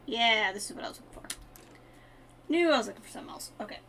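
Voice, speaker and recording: A woman's voice: fairly high pitch, animated, quick pace, bright, forceful tone, a little hiss or hum. A woman game streamer giving casual live commentary with wry, dry asides.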